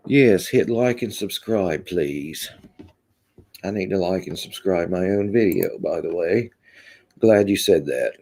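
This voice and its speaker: A man's voice: sits low, lively intonation, a little slow, darkish tone. A man from Kentucky with a Kentucky accent hosts a rambling, casual live stream and reads out chat comments, with lots of ums. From Kentucky, USA. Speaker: livestream host.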